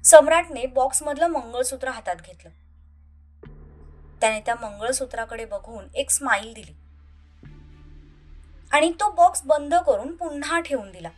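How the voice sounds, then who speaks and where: High-pitched woman narrating a story. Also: expressive, normal speed, pauses often, faint music behind. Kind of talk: dramatic scripted narration.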